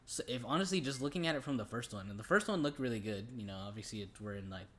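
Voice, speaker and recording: A man's voice: medium pitch, expressive, racing. A young man co-hosting a podcast, giving his take on films in a casual, slangy, laid-back way. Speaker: podcast co-host. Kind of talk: casual film review chat.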